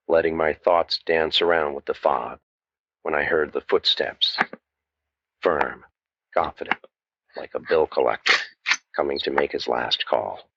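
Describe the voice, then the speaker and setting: An actor playing a cynical, wisecracking waterfront private eye, narrating old-time radio noir in a deadpan, world-weary manner. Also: male voice, a bass voice, creaky, no echo, dull audio, exaggerated voice. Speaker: narrator and lead detective.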